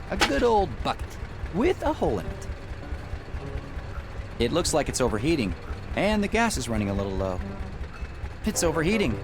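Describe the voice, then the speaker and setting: An easygoing, curious young man as the hero of a point-and-click adventure game. His mid-pitched voice is lively and wry, as if musing aloud. Music plays clearly behind him. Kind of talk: video game character dialogue.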